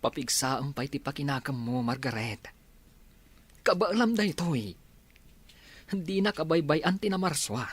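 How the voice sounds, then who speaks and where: A woman's voice: low-pitched, lively intonation, a little slow, long pauses, slightly rough, full character voice. A woman with an Ilocano accent acting a concerned, supportive companion in a village radio drama. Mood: consoling. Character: Marson, concerned supportive companion of grieving Margaret.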